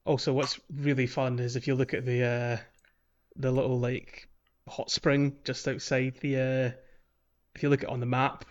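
A man's voice: mid-range voice, little pitch movement, moderate pace, muffled recording. A man co-hosting a casual video game podcast, chatting and explaining in a hesitant, circling way. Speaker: podcast host.

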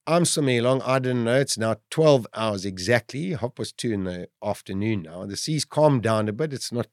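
A South African man in his fifties telling his own survival story in a loud, animated way. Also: normal speed, no echo, studio quality. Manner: loud, animated storytelling with big pitch jumps. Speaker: guest storyteller.

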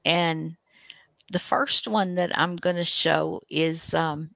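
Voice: medium pitch, slow, creaky, fairly bright tone, no room sound. A radio host casually introducing a show in a folksy, hesitant, rambling way.

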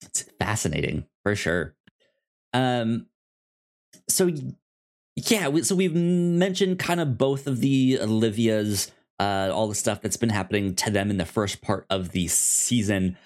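A man's voice: on the low side, animated, a little slow, darkish tone. A man co-hosting a podcast, casually recapping a show and often rephrasing himself as he explains. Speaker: podcast co-host.

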